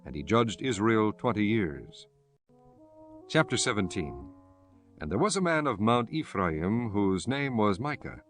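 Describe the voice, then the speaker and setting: A man's voice: on the low side, big pitch swings, measured pace, soft background music. A man giving a scripted narration of scripture as narrator of an audio drama.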